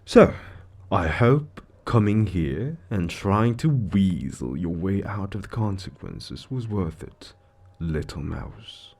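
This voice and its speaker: A suave devil lord speaks slowly and savors his words in an audio roleplay. His gravelly voice swings widely in pitch, condescending and menacing, as if gloating over a trapped mortal. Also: animated, a little mellow, heavily acted. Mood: disdainful, cold. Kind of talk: scripted audio roleplay monologue.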